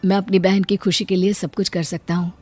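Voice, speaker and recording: A woman's voice: medium pitch, little pitch movement, a little fast, a little mellow, faint music behind, natural voice. A woman voicing a meek, dutiful poor brother with a mild, placating manner in a cartoon story. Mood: earnest.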